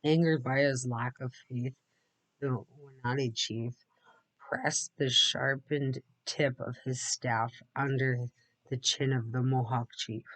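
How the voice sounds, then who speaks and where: A man's voice: medium pitch, fairly even intonation, slow, fairly bright tone, no room sound, rough recording. A man reading a storybook aloud, sometimes dropping into casual asides. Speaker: read-aloud narrator.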